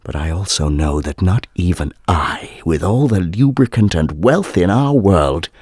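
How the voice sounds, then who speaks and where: A man playing a suave, world-weary, cynical ruler in a radio drama, speaking deliberately and condescendingly with theatrical pauses. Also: low voice, expressive, darkish tone, husky, dry recording, clean studio sound, full character voice. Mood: grave, confiding.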